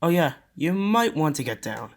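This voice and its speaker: A man's voice: a little high, big pitch swings, moderate pace, very noisy. A man playing a cocky, youthful immortal demon fighter in an amateur audio drama, with a wry, swaggering delivery. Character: cocky centuries-old male demon fighter who looks twenty-one.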